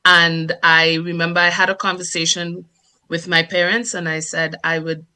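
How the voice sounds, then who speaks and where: Bright-voiced Grenadian woman, articulate storyteller. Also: lowish voice, flat delivery. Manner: articulate, measured, presentational delivery. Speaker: guest, dancer and performer. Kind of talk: interview storytelling.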